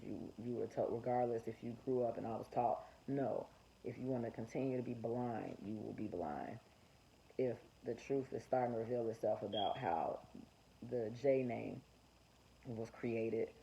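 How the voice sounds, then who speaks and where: Male vlogger, gravelly warm voice, off-the-cuff rambling monologue, muffled lo-fi recording with faint hiss. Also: medium pitch. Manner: rambling, frequent fillers and restarts. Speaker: vlogger sharing religious dreams. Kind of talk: unscripted spoken monologue.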